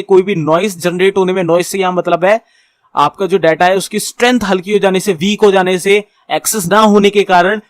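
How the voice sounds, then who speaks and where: A man with an Indian accent giving an explanatory tutorial as an instructor, reading points out slowly and then explaining them informally with repetition. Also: sits high, no room sound. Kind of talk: explanatory tutorial lecture mixing Hindi and English.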